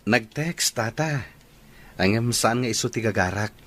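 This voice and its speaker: An actor playing a polite, eager young suitor in a radio drama, with an Ilocano accent. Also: male voice, fairly low pitch, lively intonation, unhurried, fairly bright tone. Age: young adult.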